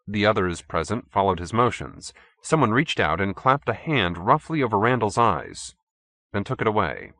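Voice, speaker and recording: A man's voice: fairly low pitch, sing-song, moderate pace, fairly bright tone, dry recording. A man reading fiction as an audiobook narrator, in scripted storytelling style.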